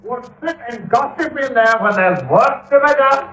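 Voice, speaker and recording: An actor playing a vain, overbearing radio actor who speaks in a grandiose, theatrical, scolding way, in a 1950s radio drama. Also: male voice, very high voice, animated, moderate pace, sharp tone, echoey room, exaggerated voice, poor audio. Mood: haughty. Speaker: actor in the broadcast.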